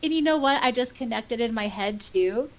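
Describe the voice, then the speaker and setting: A woman's voice: a little high, expressive, moderate pace. A woman co-hosting a podcast, chatting casually and informally about fan topics with a giggly streak. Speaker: podcast co-host. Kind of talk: casual fan discussion, reading listener comments aloud.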